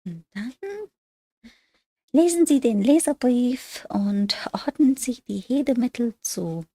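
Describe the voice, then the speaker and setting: Woman, somewhat high voice, dry close sound, casual study stream, muttering and thinking aloud. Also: animated, slow pace, mellow, dry recording. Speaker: language learner studying on a livestream.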